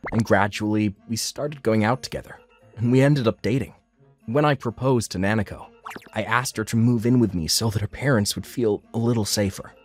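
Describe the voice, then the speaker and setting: A man's voice: on the low side, close to an everyday voice. A man acting as an earnest diner owner, narrating his life story in a scripted manga dub. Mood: sincere. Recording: background music under the voice.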